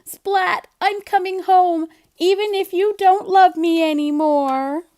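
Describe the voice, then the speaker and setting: A woman's voice: extremely high pitch, steady tone, takes it slowly, a little mellow, voice pushed up. A woman voicing a small, sensitive male mouse in a children's storybook, with an emphatic, exclaiming delivery. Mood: upset, yelling.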